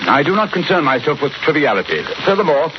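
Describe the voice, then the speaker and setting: Testy English detective, animated delivery. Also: male voice, fairly high pitch, fast talker. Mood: haughty. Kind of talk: 1940s radio drama acting. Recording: muffled, noisy 1940s radio recording.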